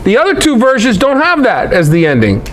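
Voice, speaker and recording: Guy teaching bible stuff, really swoopy high voice, punchy and cheeky, noisy background. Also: huge pitch swings, a little fast, fairly bright tone. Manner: emphatic, rhetorical questions to listeners, irreverent. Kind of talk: informal lecture on scripture.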